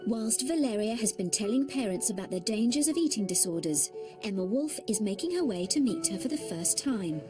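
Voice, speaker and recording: Female documentary narrator, slightly high voice, steady and scripted, music bed. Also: fairly quick.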